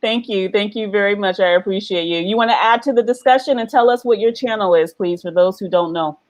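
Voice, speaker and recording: A woman's voice: mid-range voice, fairly quick, no echo. Chatty woman hosting a dry livestream. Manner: chatty, laughs often, addresses viewers directly. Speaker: vlog host running a livestream. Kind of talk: casual livestream talk. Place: living in Ghana.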